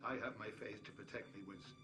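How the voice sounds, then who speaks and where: A man's voice: on the low side, steady tone, fast, background noise, lightly acted. A man playing a steadfast, protective older priest in a game, grave and folksy. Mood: grave, resolved. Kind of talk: video game cutscene acting. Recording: echoey reverberant hall, loud music.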